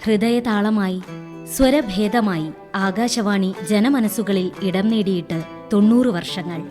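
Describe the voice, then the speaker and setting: A woman's voice: medium pitch, unhurried. A woman radio announcer reading a formal, polished promotional script. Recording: clear music bed behind.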